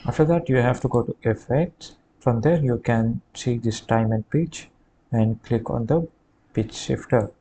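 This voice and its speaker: A man giving a halting, hesitant step-by-step software tutorial over a screen recording. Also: mid-range voice. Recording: clear background noise.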